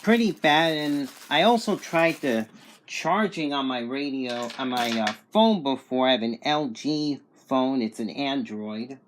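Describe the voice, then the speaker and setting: A man's voice: medium pitch, unhurried, a little hiss or hum. A man giving a casual, unscripted product review in a plain-spoken, matter-of-fact way. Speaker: radio reviewer.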